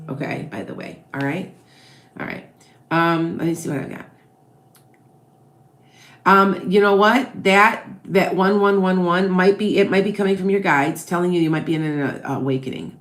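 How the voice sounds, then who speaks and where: Low-voiced Midwestern woman psychic. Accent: Wisconsin and Chicago blend. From American Midwest. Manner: chatty, ends points with "okay? all right?". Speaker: psychic tarot reader. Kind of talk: live-stream reading answering viewers.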